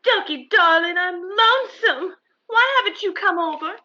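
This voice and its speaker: A woman's voice: extremely high pitch, expressive, moderate pace, sharp tone, highs cut off, heavily acted. A woman acting a gushing, coquettish old girlfriend in a radio comedy, cooing and pouting as she clings. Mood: pouting, pleading.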